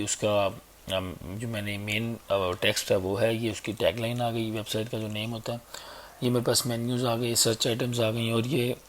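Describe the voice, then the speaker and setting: A man with a sore, hoarse throat giving a casual, chatty screen-recorded web design tutorial on a basic mic. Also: on the low side. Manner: casual, chatty, fills gaps with "okay" and "theek hai". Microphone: basic mic, a better one on order.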